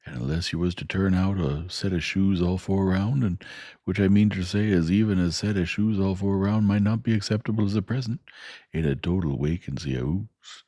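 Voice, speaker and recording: A man voicing a plain-spoken, kindly working-class blacksmith with speech, talking slowly and emphatically. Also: low voice, dark tone, dry recording, studio quality, pitched above the performer's own voice. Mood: earnest. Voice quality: dialect grammar, slow and emphatic. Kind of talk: audiobook character voice.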